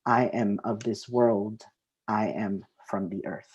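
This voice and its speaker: A woman's voice: a bass voice, fairly even intonation, slow pace, a little mellow, husky, dull audio. A woman, sharing her poetry at an open mic. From Mexico, raised partly in the US Southwest. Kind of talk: spoken-word poetry reading with casual introduction.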